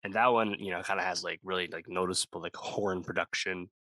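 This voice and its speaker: Deep-voiced guy on a music podcast, bright and close-miked, lots of "like" and "uh". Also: steady tone, fairly quick, no echo. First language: English speaker, not Korean. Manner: rambling, many fillers and restarts. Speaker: podcast co-host. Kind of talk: casual music review chat.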